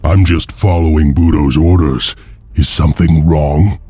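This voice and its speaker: An actor playing a grim, defiant wolf-clan slave warrior in a video game, with a deep, husky, grave voice. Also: male voice, fairly even intonation, measured pace, mellow, no echo, full character voice. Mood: terse, defiant. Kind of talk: video game cutscene acting. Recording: music behind.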